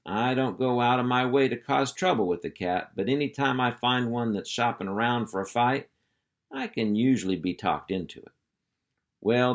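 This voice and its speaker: A man reads a children's chapter book aloud with folksy, expressive storytelling. His voice sits a touch low, steady and unhurried as he moves through the tale. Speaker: school superintendent reading aloud. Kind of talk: storybook read-aloud narration.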